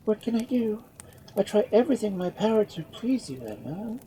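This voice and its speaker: A woman voicing a composed young noblewoman who speaks calmly and mildly scolds, in a storybook reading. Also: mid-range voice, a little fast, a little hiss or hum, voice pushed up, natural voice, rough recording. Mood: cool.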